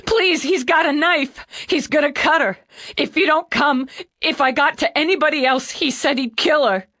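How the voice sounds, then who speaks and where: A man's voice: very high-pitched, moderate pace, sharp tone, pitched above the performer's own voice. A woman voicing a skinny young man in his late twenties, crying and breathless, his words tumbling over each other. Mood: sobbing panic. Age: late 20s.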